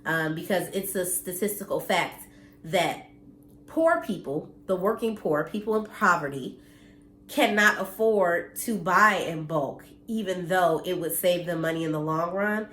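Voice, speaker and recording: A woman's voice: fairly low pitch, measured pace, fairly bright tone, echoey room, a little hiss or hum. Congested, blunt woman in her thirties. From United States. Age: 30s. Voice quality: slightly nasal, congested. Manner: earnest and blunt, mildly preachy, occasional cursing. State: congested from allergies. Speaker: vlogger. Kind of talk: casual direct-to-camera talk. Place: her home, indoors.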